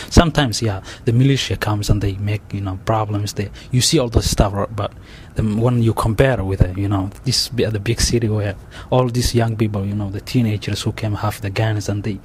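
A young man with a Somali accent, telling his story in an interview, halting and full of restarts and fillers. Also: fairly low pitch, a little mellow. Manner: halting, many restarts and "you know" fillers. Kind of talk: oral history interview. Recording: faint background noise.

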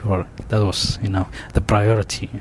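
A low-pitched man with a Somali accent speaks haltingly, stopping to restart and filling gaps with "you know." Faint noise sits under the recording. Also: flat delivery. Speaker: interviewee. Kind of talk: oral history interview.

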